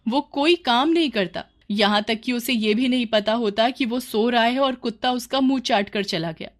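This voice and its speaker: A woman's voice: on the high side, moderate pace, voice pushed up, lightly acted. A woman playing a hardworking, fed-up young village wife in a cartoon moral story, speaking in a reproachful, plaintive, emphatic way. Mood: exasperated. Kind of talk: animated moral story dialogue.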